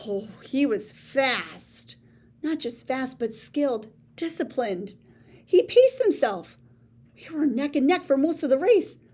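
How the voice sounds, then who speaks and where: A woman reading a children's picture book aloud in a playful, theatrical way, with big swings between soft and showy lines. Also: high-pitched, sing-song, takes it slowly. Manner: boastful first-person storybook voice. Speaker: read-aloud storyteller.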